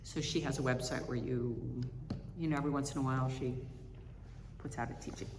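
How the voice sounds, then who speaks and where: A woman giving a reflection at a service, reading spiritual writing aloud in a measured, reflective way. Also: very deep voice, fairly even intonation. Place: large indoor chapel or hall. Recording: echoey hall with reverb, faint background noise.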